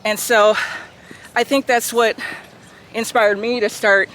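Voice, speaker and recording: A woman's voice: fairly high pitch, steady tone, slow pace, fairly bright tone, low-quality recording. A woman hosting a casual interview outdoors as a lifestyle vlogger, enthusiastic and laughing often. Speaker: vlog host, lifestyle coach. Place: outdoors on an urban walking trail. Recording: faint outdoor street noise.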